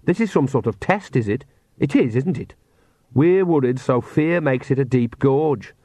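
A man's voice: mid-range voice, expressive, moderate pace, dark tone, no room sound, voice pushed up. A man voicing an anxious, stubborn young witch woman with a strained, indignant manner. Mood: insistent.

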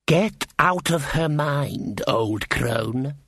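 A man's voice: on the high side, lively intonation, very slow, fairly bright tone, a little hiss or hum, heavily acted. A haughty elf queen, as a male narrator puts her on in a fantasy audiobook. She is cold and cruel, speaking with imperious, mocking condescension. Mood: commanding.

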